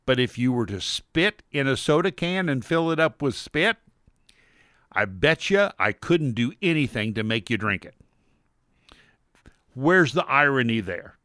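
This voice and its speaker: Guy hosting a radio show, chatty and upbeat, talks slow and easy, dry close mic. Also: fairly high pitch, sharp tone, dry recording. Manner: upbeat, playful, talks to listeners directly. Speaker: radio show host, dentist. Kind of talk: radio talk show chat.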